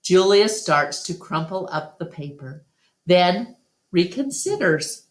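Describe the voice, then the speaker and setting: Slow, expressive woman reading a storybook. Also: on the low side. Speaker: storytime reader. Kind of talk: children's book read-aloud narration. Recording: roomy, echoey home recording.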